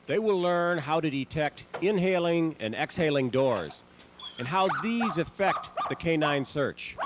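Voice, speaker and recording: A man reading scripted promotional narration in a measured, instructional manner. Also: fairly high pitch. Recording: noisy old VHS tape transfer.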